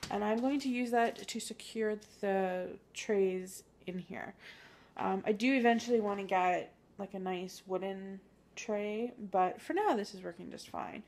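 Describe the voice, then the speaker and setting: A woman's voice: medium pitch, unhurried, a little mellow, slight background noise. Chatty woman vlogging while cleaning. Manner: chatty, upbeat, filler-heavy. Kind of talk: casual clean-with-me vlog. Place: home kitchen. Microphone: handheld phone camera.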